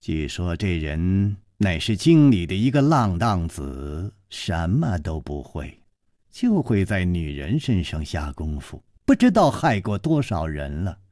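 A man's voice: deep voice, sing-song, a little slow, mellow, no room sound, studio quality, pitched below the performer's own voice. A man playing a sly in-law, fawning toward elders and sneering at rivals in an ingratiating, snide manner. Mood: scornful.

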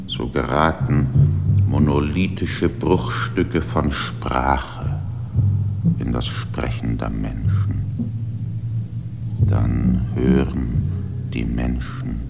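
A vast, brooding mountain spirit that fears and hates humans. It speaks with a very deep, gravelly voice, slow and grave, often sinking into a whisper. Music swells behind it in this radio play. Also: male voice, fairly even intonation, exaggerated voice. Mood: solemn.

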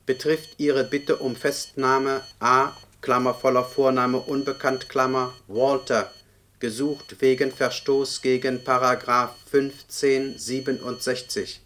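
Monotone male official reading records. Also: mid-range voice, slow pace. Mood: dry, official. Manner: monotone, formal, spells out punctuation. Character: man reading out computer police records, dry and official. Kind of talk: German radio play acting, document read-out. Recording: older radio drama, noticeable background noise.

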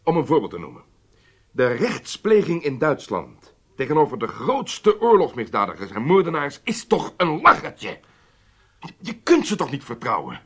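An actor playing an obsessed, resolute Israeli intelligence chief, speaking gravely and emphatically in formal Dutch radio drama style. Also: male voice, on the high side, wildly swooping pitch, moderate pace, heavily acted. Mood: distrustful.

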